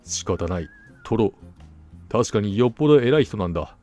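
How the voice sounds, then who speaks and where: A man voicing a gullible, self-assured gentleman hunter in a storybook, musing aloud conversationally. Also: fairly low pitch, animated, normal speed, soft background music, close to an everyday voice. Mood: surprised, impressed. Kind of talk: storybook dialogue acting.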